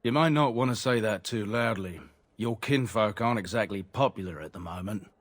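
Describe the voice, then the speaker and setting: A man's voice: on the low side, brisk, close to an everyday voice. An actor playing a matter-of-fact male city guard in a fantasy game, speaking in a blunt, official way. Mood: stern, matter-of-fact.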